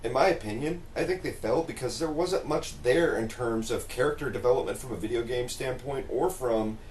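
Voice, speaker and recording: A man talking casually on a panel, explaining his opinions at length and deliberately. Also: mid-range voice, a little mellow. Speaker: podcast co-host. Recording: roomy echo, faint background noise.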